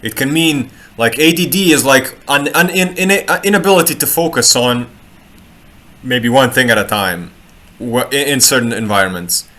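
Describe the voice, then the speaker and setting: A man's voice: mid-range voice, pushed and bright. A man from Croatia, talking in a loose, rambling way about human design, often restarting words and adding playful asides. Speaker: human design reader and street artist. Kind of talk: casual live talk. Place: home room in Sweden.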